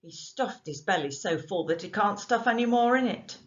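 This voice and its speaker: A woman's voice: medium pitch, animated, unhurried, fairly bright tone, pitched above the performer's own voice. A woman voicing a kindly, chatty man with a strange accent, in a dull lo-fi recording. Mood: friendly.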